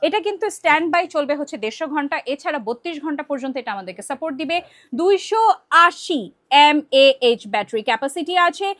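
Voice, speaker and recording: A woman with a Bangladeshi accent presenting a product promo for an online shop, in a conversational sales pitch sprinkled with English words. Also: expressive, fast, a bit bright.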